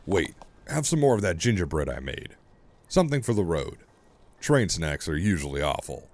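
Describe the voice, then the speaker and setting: A man's voice: deep voice, very animated, moderate pace, a little mellow, a little hiss or hum. A man playing a genial middle-aged father pony in a horror story, affable and chatty with hidden menace. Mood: cheery. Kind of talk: character acting in an audio horror story.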